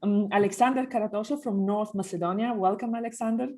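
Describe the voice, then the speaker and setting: A woman's voice: mid-range voice, fast talker, darkish tone, slight background noise. A woman hosting a podcast, introducing and moderating in a presenter's scripted style. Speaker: podcast host. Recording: music behind the voice.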